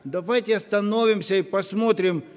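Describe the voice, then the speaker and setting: Guy preaching in a church, high voice, big echoey hall. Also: clear, resonant tone. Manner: slow, earnest, with long pauses. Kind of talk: sermon. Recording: long reverb of a church hall.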